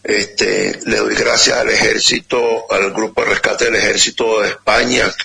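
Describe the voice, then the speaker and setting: A middle-aged man, a rescue volunteer giving an earnest, halting account full of fillers and repeated words. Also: on the low side, little pitch movement, vocal fry, sharp tone, slightly rough. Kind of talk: phone-in interview testimony.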